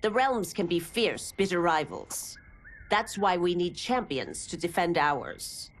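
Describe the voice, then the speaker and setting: An actress playing a firm female teacher of young fighters in a video game cutscene, instructive and lively. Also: on the low side, a little slow. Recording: game score behind the voice.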